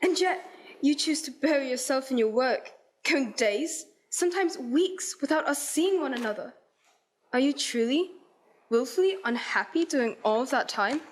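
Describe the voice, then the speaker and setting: A woman's voice: high-pitched, animated, normal speed. A woman playing a heartbroken but resolute young fiancée in a radio play, speaking earnest, formal period lines. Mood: reproachful. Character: Belle, heartbroken, resolute young fiancée releasing her betrothed.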